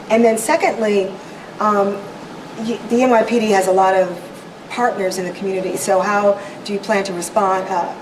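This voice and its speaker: Distant, echoey woman reporter asking questions. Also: mid-range voice. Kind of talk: press conference questioning. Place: indoor press conference room. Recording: distant echoey noisy room pickup.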